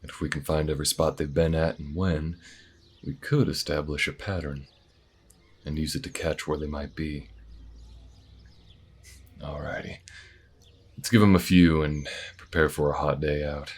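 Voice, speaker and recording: A man playing a laconic, tactical alien bounty hunter in an audio roleplay, with a low gruff voice and a measured, folksy way of thinking aloud. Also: steady tone. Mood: calm, reasoning.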